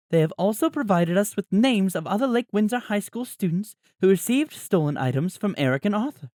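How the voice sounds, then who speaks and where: A man voicing a formal, composed mother in an audiobook, speaking in an even, official manner. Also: medium pitch, no echo, studio quality, pitched above the performer's own voice, natural voice. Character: formal, composed mother addressing wronged neighbors. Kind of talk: audiobook character voice.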